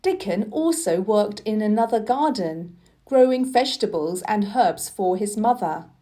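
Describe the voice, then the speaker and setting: A woman narrating a children's storybook for learners in a deliberate, expressive storytelling manner. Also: medium pitch. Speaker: audiobook reader.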